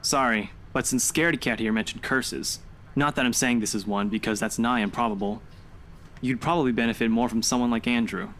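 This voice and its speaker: A medium-pitched young man , voiced by an actor, in a visual novel. He is a blunt, dismissive skeptic who likes to argue, with noisy ambience behind him. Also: fairly quick, fairly bright tone, close to an everyday voice. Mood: persuading. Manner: dismissive, argumentative.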